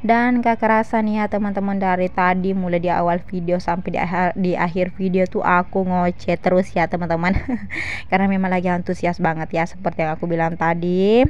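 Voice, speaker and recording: A chatty, giggly woman from South Sulawesi, Indonesia, doing a casual vlog voice-over to her viewers, with a slightly shrill edge. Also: fairly even intonation, moderate pace, darkish tone. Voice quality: slightly shrill, by own account. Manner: chatty, giggly, frequent tag words. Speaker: vlogger. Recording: dull lo-fi voice-over with faint noise.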